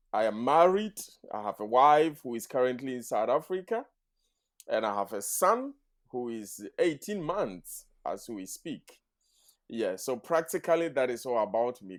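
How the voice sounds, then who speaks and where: Man, 30s, podcast guest, earnest, measured, formal phrasing, mid pitch. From Ghana. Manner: earnest, measured, speaks in full formal sentences. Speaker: guest, math teacher. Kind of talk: podcast interview.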